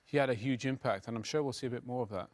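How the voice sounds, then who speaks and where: A man in his forties giving conversational post-match football analysis in a TV studio, speaking through a lapel mic with lots of fillers. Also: mid-range voice. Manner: quick, conversational, filler-heavy. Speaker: studio pundit.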